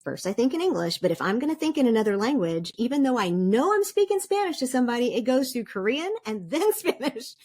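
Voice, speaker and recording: A woman's voice: on the high side, very animated, quick pace, hardly any pauses, a little mellow. A middle-aged woman talking as a podcast guest, chatting casually and laughing often while she explains with examples. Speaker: podcast guest, DISC consultant. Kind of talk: casual interview chat.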